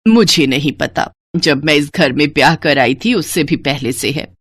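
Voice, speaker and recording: A woman's voice: sits low, animated, moderate pace, husky, dry recording, pitched below the performer's own voice. A woman voicing a poor, weary widowed farmer mother in an audio story, plain and homely. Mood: dismissive.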